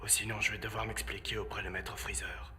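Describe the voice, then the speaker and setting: A man's voice: fairly low pitch, little pitch movement, brisk, sharp tone, a little hiss or hum. A man playing a stern, formal military officer in the service of a feared emperor, in a French fan-made anime drama. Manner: authoritative, formal.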